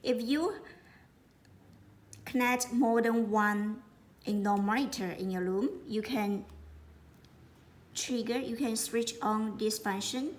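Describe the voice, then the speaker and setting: A woman giving an unscripted product demonstration, speaking haltingly and often repeating words as she explains. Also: a little high, a little hiss or hum. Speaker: product presenter.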